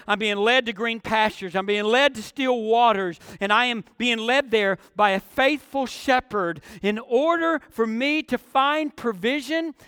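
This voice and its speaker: A man's voice: very high-pitched, sharp tone, clear, resonant tone, dry recording, clean studio sound, in a large hall. A man preaching an expository sermon, with emphatic phrasing and repetition for effect.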